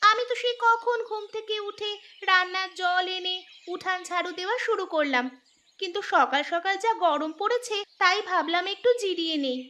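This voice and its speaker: High-pitched sweet young village girl voiced by a woman. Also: normal speed, pushed and bright. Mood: calm, reassuring. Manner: sweet, patient, uncomplaining. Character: dutiful young village girl caring for widowed father. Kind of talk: Bengali cartoon story dialogue. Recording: clear music bed behind voice.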